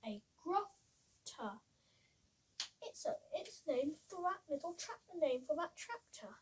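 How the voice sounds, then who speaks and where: A female reader giving an animated, slightly halting read-aloud of a children's story. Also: high-pitched, slight background noise. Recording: voice far from the mic.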